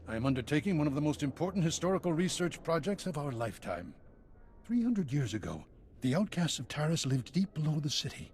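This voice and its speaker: An actor playing an earnest, formal historian in a video game, speaking grandly and with enthusiasm. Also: male voice, mid-range voice, a little mellow, slight background noise, lightly acted. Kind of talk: game character dialogue.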